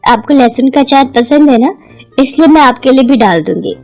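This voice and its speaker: Woman acting an eager, high-pitched young daughter-in-law. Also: lively intonation, normal speed, a little mellow. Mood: eager to please. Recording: music behind the voice.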